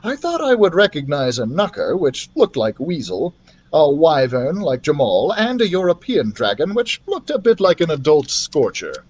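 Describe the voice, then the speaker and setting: A man narrating a children's fantasy novel as a library storyteller, expressively, in an animated manner. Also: a little high, moderate pace, slightly rough. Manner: animated, acts out the characters' dialogue.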